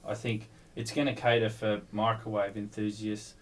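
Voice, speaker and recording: A man's voice: fairly low pitch, flat delivery, normal speed. A man in a casual technical conversation, talking with fellow club members about equipment. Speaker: club member discussing radio gear.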